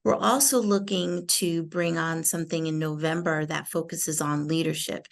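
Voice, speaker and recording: A woman's voice: lowish voice, gravelly. A middle-aged woman giving an informal presentation on a video call as an association co-chair. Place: small indoor room, on a video call.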